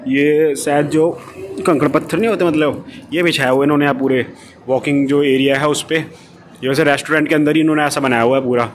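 A man's voice: fairly high pitch, steady tone, fairly quick, slight background noise. A man vlogging a walking tour of a dog-friendly cafe, explaining everything in a chatty way while filming on his phone. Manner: chatty, explaining as he walks, filler-heavy. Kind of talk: casual walkthrough vlog. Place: dog cafe, indoor-outdoor seating.

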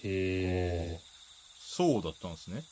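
An actor playing a timid, placating teenage underling in a Japanese radio drama, hesitant and deferential, with a husky voice. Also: male voice, sits low, expressive, slow, dark tone, a little hiss or hum. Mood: awkwardly polite.

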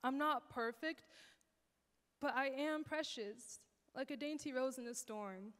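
Teen girl, high voice, hall reverb. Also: measured pace, fairly bright tone. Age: teens. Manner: earnest, rhythmic recitation. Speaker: teen performer. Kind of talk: spoken word performance. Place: church stage, large hall. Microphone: handheld mic.

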